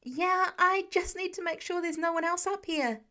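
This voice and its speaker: A woman voicing an earnest, eager teenage boy superhero with breathy urgency in an audiobook story. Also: extremely high pitch, normal speed, pushed and bright, voice pushed up. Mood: strained, urgent.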